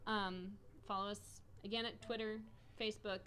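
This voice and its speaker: A woman's voice: medium pitch, little pitch movement, moderate pace, a bit bright. A young adult woman hosting a casual game livestream, upbeat and laughing often as she chats. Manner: giggly, upbeat. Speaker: stream host. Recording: faint background piano music.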